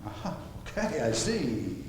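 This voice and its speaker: A man's voice: mid-range voice, very animated, unhurried. A man on stage playing a breezy, officious placement agent in a play. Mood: brisk, officious. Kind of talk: live stage play comedy dialogue. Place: theatre stage, recorded from a distance. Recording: very echoey hall, faint noise.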